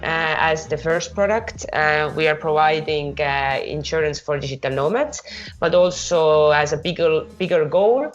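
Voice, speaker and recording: Woman on a podcast, low voice, kinda muffled audio, lots of uh's. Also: moderate pace. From Estonia. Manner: explanatory, steady with fillers. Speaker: guest, marketing professional. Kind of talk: interview answers.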